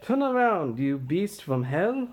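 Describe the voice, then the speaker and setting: A doomed tyrant king, acted out by a man, bitter and defiant. He declaims with brooding, combative force in a highish voice that swings widely in pitch, as in a stage tragedy. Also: very animated, slow, heavily acted.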